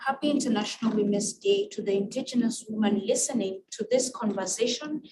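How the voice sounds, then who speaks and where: A woman with a Kenyan accent and Luo as her first language gives a formal, measured prepared speech with emphatic phrasing. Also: medium pitch. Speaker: guest speaker at an international women's event. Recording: distant mic with clear background noise.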